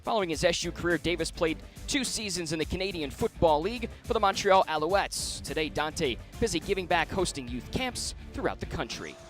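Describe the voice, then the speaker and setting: A man's voice: on the high side, lively intonation, brisk, a bit bright, faint music behind. A man presenting sports in a scripted broadcast style.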